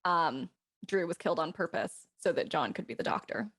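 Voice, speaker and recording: A woman's voice: fairly low pitch, animated, moderate pace, a bit bright, husky. A woman chatting casually as a panel guest, thinking theories through in slangy speech. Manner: slangy, speculative. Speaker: cast member on a panel. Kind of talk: casual chat.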